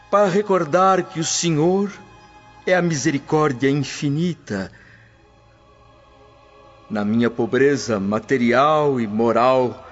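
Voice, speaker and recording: A man playing a solemn humble preacher. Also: a little high. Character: humble devout freed slave preaching. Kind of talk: radio drama acting. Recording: dull audio with soft music.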